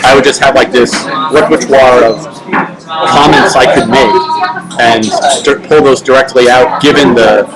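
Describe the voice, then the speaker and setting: A man's voice: mid-range voice, fairly even intonation, normal speed, poor audio. A young man hosting a casual livestream, answering viewer questions in a loose, rambling way with many filler words and restarts. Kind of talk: casual chat. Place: home webcam livestream.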